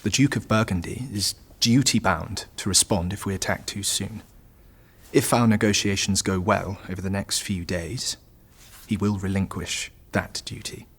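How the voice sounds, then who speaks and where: Wyrachowany król o niskim głosie. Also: male voice, deep voice, steady tone, moderate pace, fairly bright tone, slightly rough, full character voice. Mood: calculating. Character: calculating, patient French king, smooth diplomat. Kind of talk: historical audio drama acting.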